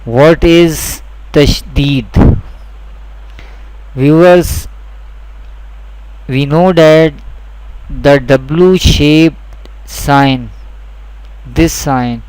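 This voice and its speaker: Slow, clear-voiced male teacher. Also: fairly high pitch, darkish tone, no room sound, rough recording. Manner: deliberate, repeats each point twice. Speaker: Quran teacher. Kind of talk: slow, repetitive instructional lesson.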